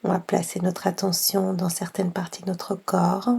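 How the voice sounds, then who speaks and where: A woman guiding a yoga nidra session in a soft, half-whispered voice, unhurried, with long pauses between gentle instructions. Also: lowish voice, completely flat delivery, gravelly, husky. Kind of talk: slow guided meditation.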